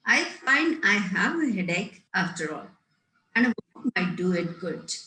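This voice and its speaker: A woman's voice: on the low side, very animated, unhurried, pushed and bright, heavily acted. A woman reading a prim governess, echoey room. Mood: coy. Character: prim, strict governess, coy with the rector. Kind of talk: amateur table read of Victorian comedy play. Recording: roomy, echoing sound.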